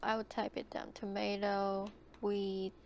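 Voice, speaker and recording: A woman's voice: medium pitch, little pitch movement, a little slow, slight background noise. A woman chatting casually as a player in an online tabletop roleplaying game.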